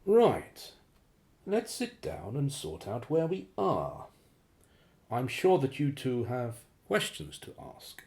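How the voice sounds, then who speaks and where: Male actor for secretive radio-play defector: courteous, hesitant, uneasy man with a soft-edged tone and swooping melody. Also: mid-range voice, huge pitch swings, takes it slowly, vocal fry, heavily acted. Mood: polite, cautious. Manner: hesitant, formal, well-spoken. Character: secretive male operative betraying his shadowy organization, uneasy. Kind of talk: radio drama acting.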